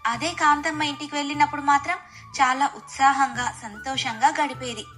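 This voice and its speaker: High, bright woman storyteller over soft music. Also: steady tone, normal speed. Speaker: storyteller, narrator. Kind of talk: scripted bedtime moral story narration.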